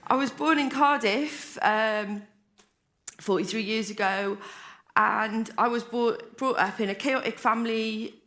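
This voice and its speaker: A woman's voice: a little high, slow, pauses often, fairly bright tone. A Welsh woman in her forties giving a candid, rambling personal share as a speaker at a recovery convention, often restarting her sentences. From Wales. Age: 40s. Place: convention hall stage.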